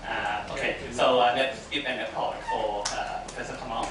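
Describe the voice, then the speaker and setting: A man giving a hesitant formal introduction of a guest speaker, halting and full of fillers. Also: medium pitch, steady tone, distant mic. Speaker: faculty host introducing a speaker. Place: echoey lecture room. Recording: echoey hall, picked up from afar, noisy.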